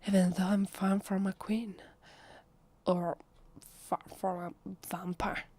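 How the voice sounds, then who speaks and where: A woman with an Italian accent playing a shy, lonely vampire posing as a human in an ASMR roleplay, speaking softly and hesitantly in a breathy half-whisper. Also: mid-range voice, flat delivery, slow. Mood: shy, wistful. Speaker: voice actress. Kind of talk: ASMR roleplay, soft intimate speech to the listener.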